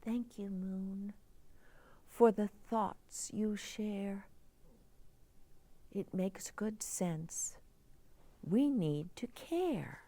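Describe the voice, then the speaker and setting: A woman voicing an earnest, concerned young girl from a storybook, speaking softly in rhyme. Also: mid-range voice, heavily acted. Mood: grateful, earnest.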